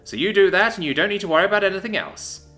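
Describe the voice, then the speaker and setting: A man's voice: high-pitched, animated, racing, pushed and bright, voice pushed up, full character voice. A man voicing a strict male Air Force drill sergeant who barks orders in a clipped, commanding way. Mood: quietly amused.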